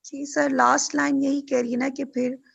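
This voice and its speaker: A woman with a creaky, husky voice, a law student checking a point in class. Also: high voice, flat delivery, moderate pace, fairly bright tone, muffled recording. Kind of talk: informal classroom question.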